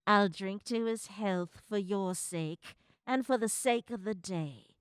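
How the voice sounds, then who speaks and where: Sharp-tongued radio-drama wife, female actor: indignant, deliberate and slow, close dry sound. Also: mid-range voice, clear, resonant tone, no echo, close to an everyday voice. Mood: grudging. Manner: pointed, disapproving. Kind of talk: stage-style radio drama acting.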